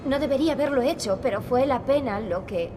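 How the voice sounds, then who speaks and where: An actress playing an earnest, determined young princess in a video game. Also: female voice, high-pitched, lively intonation, brisk, noisy background. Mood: remorseful. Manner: earnest, serious. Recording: loud game music behind. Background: music.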